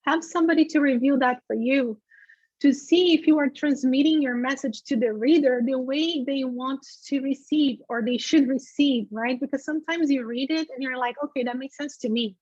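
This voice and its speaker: Female webinar presenter, native Portuguese speaker. High voice, informal and emphatic teaching style, lo-fi sound. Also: moderate pace, darkish tone, no echo. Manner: conversational, emphatic, checks in with "okay?" and "right?". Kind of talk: informal instructional webinar talk. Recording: dull, lo-fi webinar audio.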